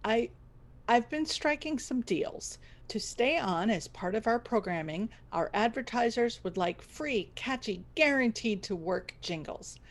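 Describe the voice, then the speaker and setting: A woman's voice: a little high, expressive, a little slow. A woman playing an earnest, frazzled radio station boss in a scripted audio comedy, theatrical and wry. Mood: earnest, businesslike.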